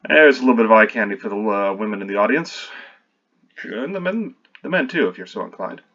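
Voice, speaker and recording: A man's voice: lowish voice, lively intonation, fairly quick, sharp tone, muffled recording. A man reading his own screenplay aloud while revising it, stopping often to correct himself and add wry asides.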